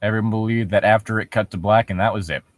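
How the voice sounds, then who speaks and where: A man's voice: fairly low pitch, fairly even intonation, fast, no room sound, studio quality. A man co-hosting casual comedic commentary on internet horror stories, joking and swearing as he goes. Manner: joking, swearing, breaking into laughter. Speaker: co-host.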